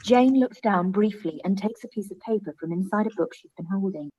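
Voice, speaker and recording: A woman's voice: mid-range voice, brisk, mellow, husky, low-quality recording. A woman reading scripted stage narration for a live-read radio play.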